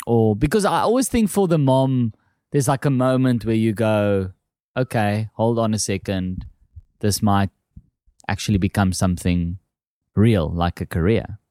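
A man's voice: lowish voice, unhurried, warm tone, dry recording, clean studio sound. A man with a South African accent, hosting a casual interview and asking conversational questions. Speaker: host, interviewer.

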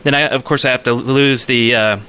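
A man's voice: mid-range voice, fairly even intonation, fairly quick, sharp tone, clear, resonant tone. A man co-hosting a podcast, chatting casually about games in a rambling, enthusiastic way. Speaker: podcast co-host. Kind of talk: casual gaming chat.

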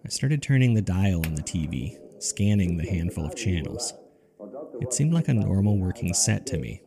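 A man narrating a scripted spooky first-person story in a measured, dry, conversational way, as if reading from a journal. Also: low-pitched, big pitch swings, creaky, background noise. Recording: music under the voice. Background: background music.

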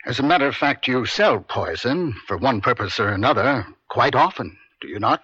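A man acting a stern, pressing defense attorney in a radio courtroom drama, deliberate, pointed and domineering. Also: mid-range voice, expressive, sharp tone, husky, no room sound. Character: stern, pressing male defense attorney cross-examining a witness. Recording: dry, dull vintage radio broadcast.

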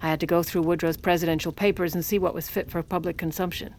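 An actress playing a scheming, power-hungry 1920s First Lady in an audio comedy, speaking in a wry, deadpan manner. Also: lowish voice, fast talker, a little hiss or hum, natural voice. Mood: wry narration. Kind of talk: audio comedy drama with first-person narration.

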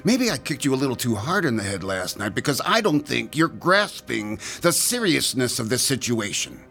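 A man's voice: mid-range voice, very animated, normal speed, vocal fry, a bit bright, soft background music. An actor playing a sarcastic male thug in a radio drama, taunting and drawling out his threats with sneering mockery. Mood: mocking.

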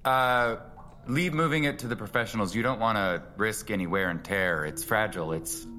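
An actor playing a shrewd antiques appraiser in an audio drama, dry, professional and deliberate. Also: male voice, lowish voice, bright, forceful tone, big-room sound. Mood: measured intrigue. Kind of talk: dramatic audio fiction acting.

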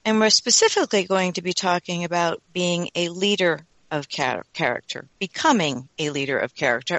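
A woman's voice: fairly low pitch, a bit bright, no room sound, rough recording. A woman hosting a radio talk show, speaking in an enthusiastic, earnest way.

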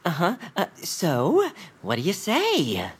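A woman's voice: lowish voice, huge pitch swings, slow pace, husky, full character voice. A woman playing an eager, chatty, self-assured young male bird in a family audio drama, gushing and rambling with nervous giggles. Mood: excited, pleading. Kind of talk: family audio fiction acting.

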